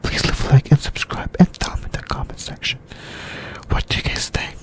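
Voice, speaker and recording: A YouTuber whispering an ASMR anime discussion in a halting way, in short broken phrases. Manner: whispering throughout.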